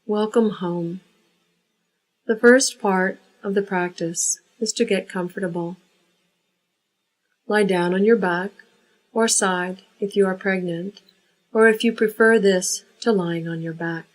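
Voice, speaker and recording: A woman leading a guided yoga nidra relaxation, giving unhurried, measured instructions. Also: medium pitch, fairly even intonation, poor audio.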